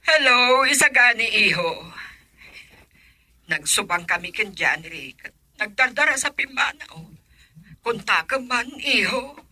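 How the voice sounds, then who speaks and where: A woman's voice: fairly high pitch, expressive, a little slow, bright, forceful tone, no room sound, exaggerated voice. A woman with an Ilocano accent playing an anxious middle-aged mother who frets aloud about her missing son in a radio drama. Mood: pleading. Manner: fretful, talking to herself in worry.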